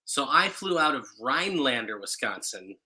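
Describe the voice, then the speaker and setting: Very bright-voiced man chatting casually. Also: medium pitch, very animated, moderate pace, rough recording. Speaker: guest, singer and performer. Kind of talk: casual chat, storytelling. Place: home room, indoors.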